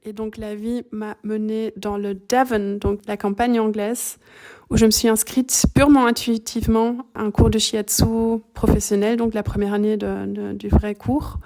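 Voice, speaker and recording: A middle-aged woman from Belgium, a native German speaker, telling her story calmly and thoughtfully in a podcast interview. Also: medium pitch, moderate pace. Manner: calm, thoughtful, searching for words. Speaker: guest, shiatsu practitioner.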